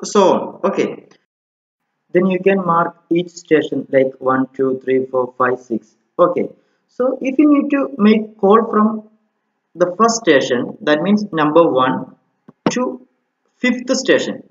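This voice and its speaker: A man with a Malayali Indian accent explaining a technical tutorial informally, step by step and haltingly, often saying okay, recorded indoors with a dull, roomy sound. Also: on the high side, very animated. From Kerala, India. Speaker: electronics installation instructor.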